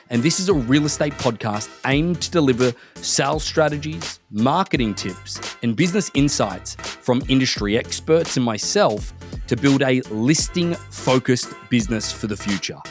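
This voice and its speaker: A man hosting a podcast interview, eager and quick, often repeating words as he starts a sentence. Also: medium pitch, expressive, faint music behind. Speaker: podcast host.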